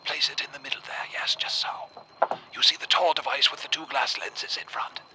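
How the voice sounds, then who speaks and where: A man playing a secretive, courteous gentleman in a radio drama, giving instructions in a breathy half-whisper. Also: medium pitch, steady tone, brisk, noisy background, natural voice. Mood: calm, controlling.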